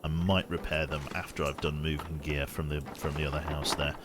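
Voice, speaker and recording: A man with a very low voice chats through his gameplay, talking himself through each move as he goes. He sounds a little sniffly and congested. A steady noise sits under the recording. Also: a little fast. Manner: chatty, muttering his actions aloud as he plays. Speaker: streamer. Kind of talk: casual gameplay commentary.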